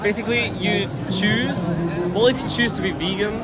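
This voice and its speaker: A man's voice: high voice, normal speed. A man from southern Germany with a German accent, chatting casually in English as an agreeable but hesitant passer-by. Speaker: passer-by being interviewed. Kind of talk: casual chat. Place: outdoors on a busy city street in Berlin. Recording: street recording with loud music and crowd. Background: loud music, crowd, city noise.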